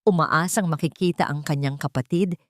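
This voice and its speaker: A woman's voice: low voice, moderate pace, no echo, clean studio sound. A woman reading scripted, dramatic narration as the storyteller of an audio drama.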